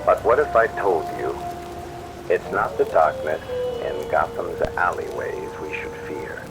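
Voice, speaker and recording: A man performs a brooding late-night radio host in an audio roleplay. His deep voice delivers a scripted monologue in a measured, theatrical, ominous way. Loud music and rain play behind him. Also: lively intonation, heavily acted, low-quality recording.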